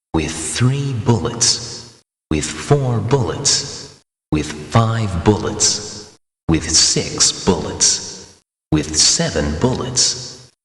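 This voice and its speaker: Game item narrator, really slow n deliberate, short clipped phrases, reverby sound. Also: male voice, deep voice, fairly bright tone, exaggerated voice. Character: matter-of-fact male game narrator reading out items. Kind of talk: scripted video game item readouts. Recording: echoey game clips with long reverb.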